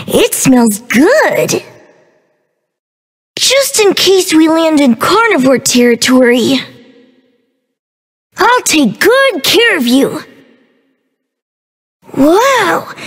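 Cute baby dino game character, super high n bouncy, playful innocent kiddy voice, long reverb on it. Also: female voice, exaggerated voice. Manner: childlike, bubbly, exaggerated. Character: playful, innocent baby dinosaur, childlike and excitable. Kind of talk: video game character voice lines.

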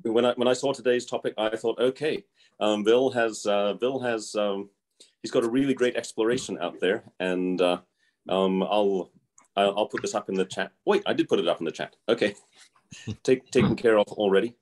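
A man's voice: fairly low pitch, normal speed, darkish tone. An older man, a retired math professor, introducing himself eagerly on a video call with lots of hesitations. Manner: enthusiastic, hesitant, many fillers. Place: indoor room. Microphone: laptop on a video call.

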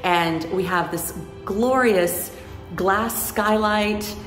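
A woman's voice: mid-range voice, very slow, echoey room, in a large hall, soft background music. A woman hosting a travel vlog, chatting playfully and gushing as she narrates. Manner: playful, laughs often, gushing descriptive narration. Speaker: travel vlogger. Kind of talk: casual travel vlog chat and voice-over.

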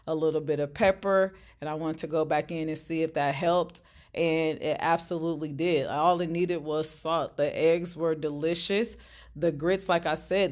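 A woman in her thirties with a Chicago accent narrates a restaurant review, her voice sitting on the lower side. She talks in a measured, deliberate way, describing each dish carefully. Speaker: food reviewer. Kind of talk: scripted restaurant review voice-over. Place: restaurant table indoors.

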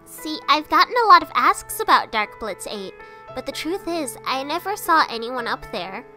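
A woman's voice: high-pitched, very animated, moderate pace, sharp tone, soft background music, heavily acted. A woman voicing a small, earnest, boyish skeleton hero in a comic dub, youthful and hesitant, anxious but kind. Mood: uneasy, firm.

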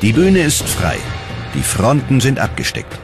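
A man's voice: mid-range voice, wildly swooping pitch, unhurried. A man reading scripted documentary narration in a dramatic, emphatic manner. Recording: loud music behind the voice. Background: loud music.

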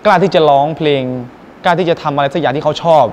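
Young man, singer and mentor, quick talker, fairly high voice, polite, encouraging. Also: a little mellow, a little hiss or hum. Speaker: guest singer and workshop mentor. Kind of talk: presenter-style address to camera. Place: outdoors by a school building, then indoors at a music school.

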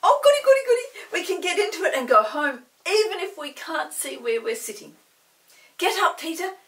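A woman's voice: extremely high pitch, very animated, normal speed, fairly bright tone, far from the mic, pitched above the performer's own voice, full character voice. A woman voicing an earnest, exasperated young girl in a children's storybook, with an emphatic, chiding way of talking. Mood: excited.

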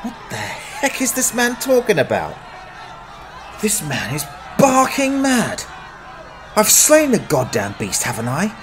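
Man playing an exasperated adventurer, lively pitch. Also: unhurried, over music, crowd noise, heavily acted. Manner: grumbling, sardonic. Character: gruff, exasperated down-at-heel male adventurer. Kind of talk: dramatized fantasy audiobook acting.

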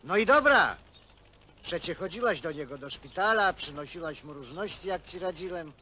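High-pitched, forceful, scheming working man. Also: expressive, moderate pace, exaggerated voice. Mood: cajoling. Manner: colloquial, needling. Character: scheming, cajoling brother, stout working man. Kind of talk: naturalistic film acting. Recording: faintly noisy old film soundtrack.

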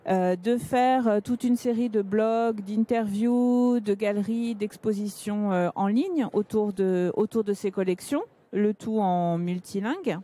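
A middle-aged woman moderating a conference panel into a handheld mic, speaking haltingly with many fillers. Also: mid-range voice, warm tone. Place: large conference stage indoors.

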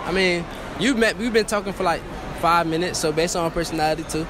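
Teasing teen guy with a high voice. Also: fairly quick, a crowd in the background, background noise. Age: teens. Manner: teasing, boastful, laughing. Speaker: host. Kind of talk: joking street interview. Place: indoor shopping mall. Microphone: handheld mic.

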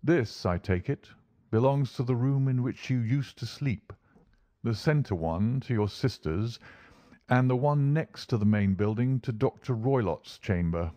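A man voicing a shrewd, commanding English gentleman detective, brisk, courteous and authoritative, in a storybook reading. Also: medium pitch, lively intonation, dry recording, pitched above the performer's own voice, lightly acted. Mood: observant.

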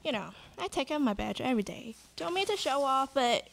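Woman as earnest, boastful sheriff for radio drama, slightly high voice, distant mic. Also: expressive, fairly quick, creaky, full character voice. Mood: proud, earnest. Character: proud, dutiful sheriff who loves the badge. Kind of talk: student live radio play reading.

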